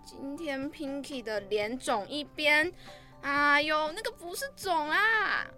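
A woman acts out a young female livestream seller in a radio drama, very high and bright in pitch and tone. She is bubbly and vain, coy with her fans and gushing over skincare, with music playing behind her. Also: slow, exaggerated voice. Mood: coy, defensive. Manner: cutesy, coy, gushing sales patter.

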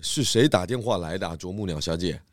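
A man's voice: low-pitched, a little fast, dry recording, studio quality, lightly acted. A man voicing an easygoing, caring, food-loving pig detective in a children's audio story. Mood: sleepy, grumbling.

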